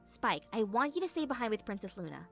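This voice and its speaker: Woman as an earnest, high-voiced young pony scholar. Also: big pitch swings, fast, vocal fry, a bit bright, full character voice. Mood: earnest, concerned. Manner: earnest, questioning. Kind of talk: fan comic dub acting. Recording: faint music behind.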